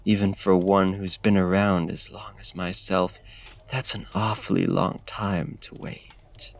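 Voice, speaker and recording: ASMR roleplay vampire played by a man: courtly, predatory, seductive, very unhurried, creaky and breathy. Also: low voice, little pitch movement. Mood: smooth, seductive. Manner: formal, archaic phrasing, slow deliberate pauses, courtly endearments. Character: suave, predatory ancient male vampire, seductive and menacing. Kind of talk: ASMR roleplay, intimate theatrical monologue.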